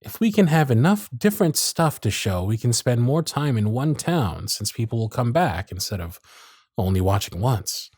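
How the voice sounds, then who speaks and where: A smitten circus magician played by a man for an ASMR romance roleplay, with a husky, breathy voice in a close, dry recording. He is gentle and charming, tender and flattering, with a private nervousness underneath his soft-spoken delivery. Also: medium pitch, normal speed, no room sound. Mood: warm, charming. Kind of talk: ASMR romance roleplay with intimate inner monologue.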